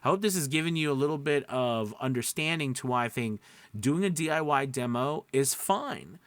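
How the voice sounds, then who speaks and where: A man speaks as a coach hosting an advice video, with a widely swinging, animated intonation. His talk is casual and reassuring, full of little check-ins with the listener. The sound is dry, with hardly any room in it. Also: medium pitch, normal speed, dry recording. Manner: encouraging, reassuring; conversational, checks in with frequent "right?" and "okay?". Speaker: voice-over coach and video host.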